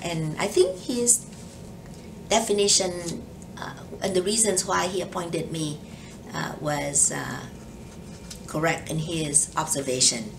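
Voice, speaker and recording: A woman's voice: deep voice, slow pace, vocal fry, long pauses, recorded from a distance, background noise. An older woman judge with a Vietnamese accent, speaking carefully in an oral history interview, hesitating often. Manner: careful, hesitant, many ums. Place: office with leather chair.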